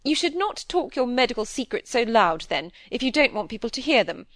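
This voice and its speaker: Woman acting a high, fretful, pouting wife. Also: big pitch swings, a little fast, no room sound, studio quality. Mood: tearful, aggrieved. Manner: plaintive, pouting, evasive. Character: fretful, wheedling, self-excusing middle-aged wife.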